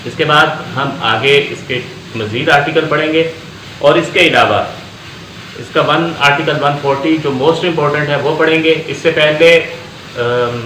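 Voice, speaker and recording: Bright-voiced Pakistani man lecturing, echoey room. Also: on the high side. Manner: deliberate, repeats phrases for emphasis. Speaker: law lecturer. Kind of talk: explanatory law lecture mixing Urdu and English. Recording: echoey room with faint background noise.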